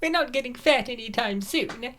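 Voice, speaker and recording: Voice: medium pitch, moderate pace, pushed and bright, slight background noise, close to an everyday voice. A performer voicing an insistent, food-loving young woman who is breezy and persuasive, in an amateur comic dub. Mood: breezy, coaxing.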